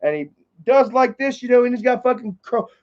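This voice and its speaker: A man's voice: very high voice, lively intonation, a little fast. A man in his thirties telling a rambling, profane story in casual livestream chat, full of fillers and repeats. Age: 30s. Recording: dull lo-fi stream audio.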